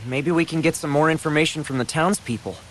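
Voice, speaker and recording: An actor playing an earnest, defiant young hero of a skyfaring crew in a game. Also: male voice, fairly high pitch, fast, fairly bright tone, slight background noise, natural voice. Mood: puzzled. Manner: heroic, earnest. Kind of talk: English game dub cutscene.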